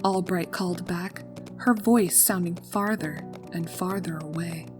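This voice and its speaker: Woman calmly narrating a horror story. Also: on the low side, a little slow, music in the background.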